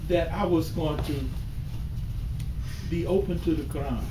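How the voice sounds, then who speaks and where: An older man giving a sermon in a small mosque, slow and deliberate, rising to emphatic calls. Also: fairly high pitch. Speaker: imam. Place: small mosque hall with bookshelves, indoors. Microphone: far camera at the back of the room. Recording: echoey room from far off with hiss.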